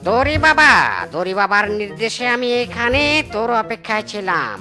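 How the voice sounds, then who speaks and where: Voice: medium pitch, expressive, sharp tone, soft background music. A performer voicing a sly monkey who poses as a mystic holy man in a comedy cartoon, chanting and proclaiming theatrically. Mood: solemn, coaxing. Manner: theatrical incantation, drawn-out proclamations. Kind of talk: Bangla comedy cartoon dubbing.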